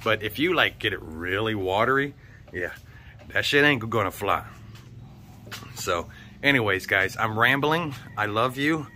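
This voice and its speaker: A man's voice: on the low side, lively intonation, unhurried, long pauses, sharp tone, slight background noise. A man talking casually and ramblingly to his viewers as a vlogger, blunt, slangy and full of swearing. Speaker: vlogger hosting a channel.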